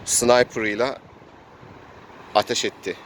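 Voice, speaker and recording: A man's voice: medium pitch, steady tone, slow pace, fairly bright tone, rough recording. A man narrates an improvised role-playing game outdoors as host and game master, telling it casually with spoken sound effects. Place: outdoors in an urban area. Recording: outdoor urban noise, passing vehicles.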